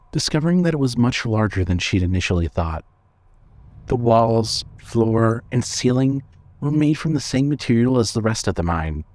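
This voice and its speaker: A man's voice: mid-range voice, a little mellow. Man narrating a scripted story.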